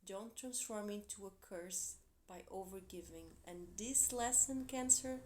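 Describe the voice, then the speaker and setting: A woman from Portugal, speaking English as a second language with a Portuguese accent, giving an earnest, encouraging tarot reading with soft, quiet asides. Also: medium pitch, a little slow, slight background noise. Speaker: tarot reader. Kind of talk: tarot reading for a zodiac sign.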